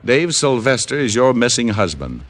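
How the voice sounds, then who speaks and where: An actor playing a tough, wry private detective with an American accent and clipped, needling delivery in an old radio crime drama. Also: male voice, mid-range voice, very animated, normal speed, a bit bright. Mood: hard, accusing. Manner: wry, needling, clipped hard-boiled talk. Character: tough, wry male private detective, hard-boiled narrator. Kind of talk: 1940s-50s radio detective acting and narration. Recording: old radio recording, music behind.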